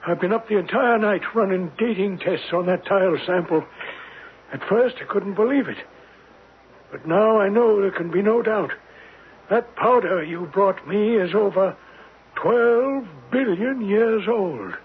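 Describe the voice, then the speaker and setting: High-voiced, grave scholarly professor. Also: male voice, measured pace, phone-quality sound. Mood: grave, amazed. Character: grave, scholarly professor, astonished by scientific findings. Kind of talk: radio drama acting. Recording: thin, noisy vintage radio recording.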